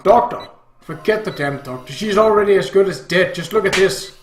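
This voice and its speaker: A man voicing a harsh, bitter, worn-out father in an audiobook, gruff and blunt. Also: fairly high pitch, normal speed, fairly bright tone, echoey room, faint music behind, lightly acted. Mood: angry. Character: harsh, bitter, exhausted father of a comatose girl.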